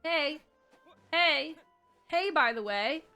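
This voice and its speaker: A woman's voice: sits high, animated, slow, bright, forceful tone, faint music behind. A woman streamer chatting casually and playfully, with exclamations, as she reacts to a game.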